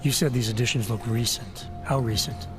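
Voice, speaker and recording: An actor playing a stoic, brooding augmented agent in a video game, gravelly, terse and deadpan. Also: male voice, mid-range voice, fairly quick, natural voice. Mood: brooding, guarded. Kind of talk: video game cutscene acting. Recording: loud music behind the voice.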